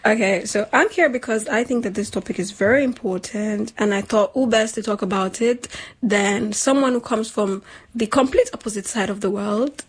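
A young woman from Nigeria co-hosting a casual chat show, talking in an animated, slangy way and laughing often. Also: medium pitch, normal speed, husky. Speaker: podcast co-host.

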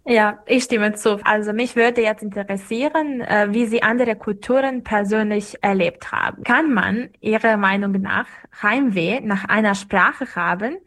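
A woman's voice: mid-range voice, measured pace, few pauses, fairly bright tone. A woman interviewing a guest, asking prepared questions in a polite, formal manner.

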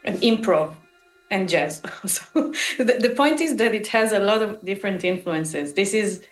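A woman speaks with an Israeli accent at a slow pace, in a roomy sound with music behind. They talk casually and reflectively as an interviewed musician, often pausing mid-phrase to find the next word. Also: medium pitch, animated. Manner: halting, searching for words, pausing mid-phrase. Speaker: musician and composer interviewed about their work.

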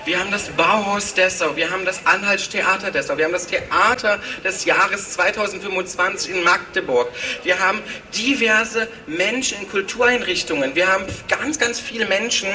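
Male rally orator, very sharp bright tone, emphatic delivery, picked up from a distance. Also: sits high, moderate pace, soft background music. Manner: emphatic, repeats phrases. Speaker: speaker at a political rally. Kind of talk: rousing rally speech. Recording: distant from the microphone.

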